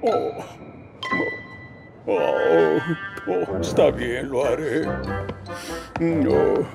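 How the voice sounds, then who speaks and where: A man's voice: fairly high pitch, extremely slow, creaky, over loud music, heavily acted. A man playing a pompous, grumbling middle-aged railway boss in a children's cartoon, fussy and exclaiming. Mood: flustered, grumbling. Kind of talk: animated children's series dub.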